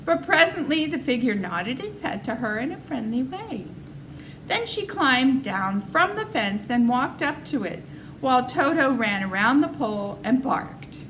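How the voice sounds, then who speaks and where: A woman reading a children's story aloud as an expressive narrator. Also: high voice, unhurried, noisy background. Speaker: story reader.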